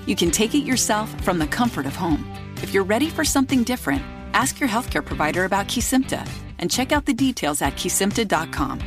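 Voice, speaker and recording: Music plays clearly behind a woman reading a scripted radio commercial. Her mid-pitched voice delivers a pharmaceutical ad as a voice-over. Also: big pitch swings, fairly quick. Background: music bed.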